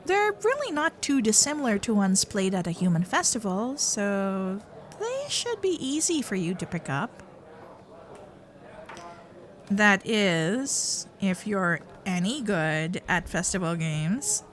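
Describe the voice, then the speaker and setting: Woman acting a teasing kitsune girlfriend, lively and unhurried. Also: medium pitch. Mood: warm, excited.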